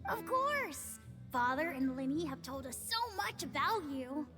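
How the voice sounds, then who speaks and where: A very high, childlike video game voice. The child sounds eager, admiring and a little mischievous, with animated swings in pitch. Music is clearly audible underneath. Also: female voice, a little slow. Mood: awed, excited.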